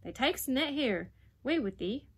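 Woman reader as a stubborn boy, loud and high, blocking the way. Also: sing-song, a little slow, husky, exaggerated voice. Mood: defiant. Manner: stout, defiant, broad dialect. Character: fierce, desperate young boy in dialect.

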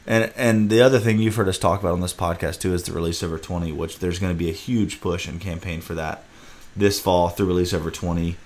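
Deep-voiced fishing guide, laid back. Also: male voice, quick pace. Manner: relaxed, rambling, talks through restarts. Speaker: podcast host and fishing guide. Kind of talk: casual podcast chat. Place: indoor room. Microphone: video call.

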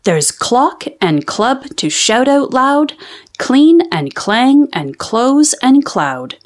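A woman reading a children's storybook aloud, with expressive, deliberate enunciation for young children. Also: sits high. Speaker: children's read-aloud host.

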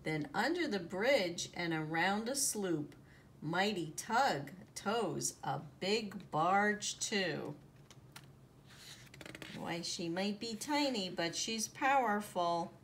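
A woman reading a children's picture book aloud in an expressive, deliberate storytelling manner, drawing out the sound words. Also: medium pitch. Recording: noticeable background noise, home recording.

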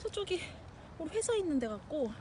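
A young woman with a high, bright voice talks casually while hiking uphill, a little out of breath. She holds the camera herself on an outdoor trail. Loud outdoor noise runs under her voice. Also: sing-song, brisk. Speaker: vlogger filming a hike with her mother. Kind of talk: casual vlog narration. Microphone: handheld camera.

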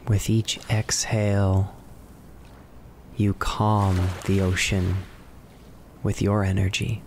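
A man narrating a guided relaxation in a measured, soothing manner, with long pauses between short phrases. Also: on the low side, creaky. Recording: steady ocean wave noise behind voice. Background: ocean waves and relaxation music.

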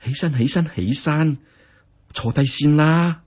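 Man voicing a husky, righteous women's representative. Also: on the high side, a little slow, dull audio, voice pushed up. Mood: soothing. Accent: Hong Kong Cantonese. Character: forceful, righteous women's representative, emotional older benefactress.

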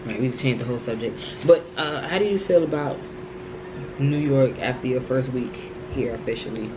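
A young woman co-hosting a casual food review vlog, relaxed and agreeable, chiming in and talking over her partner at a table close to the camera indoors. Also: very deep voice, brisk, noisy background. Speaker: vlog co-host. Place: small indoor room, seated at a table.

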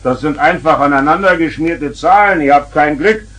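An actor playing a grumpy, easily exasperated father who blusters and scolds, in a husky voice, in a 1970s children's radio play. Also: on the high side, moderate pace, a bit bright. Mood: grumbling.

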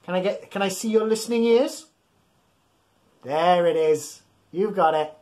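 A man's voice: sits high, measured pace. A man in his thirties hosting an interactive picture-book read-aloud, playful and exaggerated, talking straight to young listeners and encouraging them. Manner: animated, physically acting along. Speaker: children's storytime host. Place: small indoor room at home.

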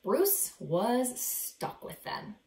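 A woman in her thirties reading a children's picture book aloud with dramatic, deliberate emphasis, in a living room. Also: on the high side, sing-song, a little mellow. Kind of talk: expressive children's read-aloud. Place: small living room, indoors. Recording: roomy, echoey indoor sound.

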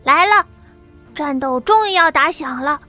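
A woman voicing a weary young psychic who speaks quietly and thoughtfully, in an audiobook with soft music underneath. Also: high voice, lively intonation, measured pace, voice pushed up, full character voice.

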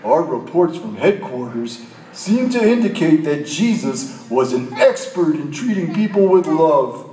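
A man voicing an eager, boastful detective dog puppet in a children's show, with exaggerated, clearly enunciated delivery. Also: a little high, very animated, unhurried, warm tone, a little hiss or hum. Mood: earnest. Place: large hall, phone recording from the audience. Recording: echoey hall, far from the mic.

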